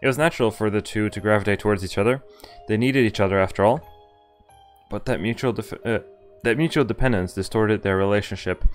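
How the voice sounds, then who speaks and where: Young guy with a lowish voice reading out a visual novel on stream, bit of casual commentary, music behind. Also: fairly quick. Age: young adult. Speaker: game streamer. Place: indoor room, webcam stream. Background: game music.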